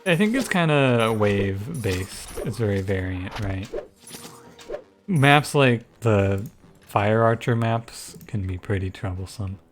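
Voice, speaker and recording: A man with a soft, soothing voice giving relaxed, thinking-aloud commentary over a strategy game. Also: slow pace, music in the background. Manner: low-key, musing, thinks aloud with frequent restarts. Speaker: gaming YouTuber and streamer. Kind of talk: casual gameplay commentary.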